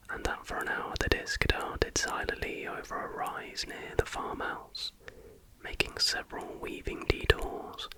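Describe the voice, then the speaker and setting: A man speaks entirely in a breathy whisper, with no voiced tone at all. He narrates in an ASMR style, reading stories aloud like an online host. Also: extremely high pitch, low-quality recording. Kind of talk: whispered ASMR storytelling, reading with casual asides.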